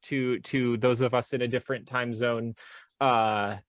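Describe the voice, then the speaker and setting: A man's voice: mid-range voice, unhurried, no room sound. Chatty man hosting a podcast, dry sound. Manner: relaxed, chatty, lots of filler ums. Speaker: podcast host. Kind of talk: casual podcast chat.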